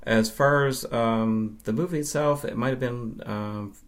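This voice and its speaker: A man from Nova Scotia, answering interview questions as a podcast guest in a measured, explanatory way with pauses. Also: medium pitch. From Nova Scotia, Canada. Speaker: podcast guest, film fan.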